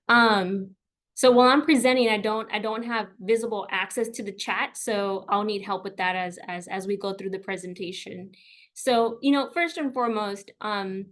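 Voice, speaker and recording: Middle-aged woman from South Texas, first language Spanish, webinar speaker; encouraging and personal, mid-pitched voice. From South Texas, USA. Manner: warm, personal, encouraging, with frequent fillers and repeats. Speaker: webinar presenter. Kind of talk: presenting a career webinar.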